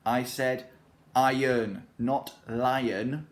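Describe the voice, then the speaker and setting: A man doing a shrill, fussy old washerwoman. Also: huge pitch swings, moderate pace. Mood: snappy. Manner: shrill and snappy.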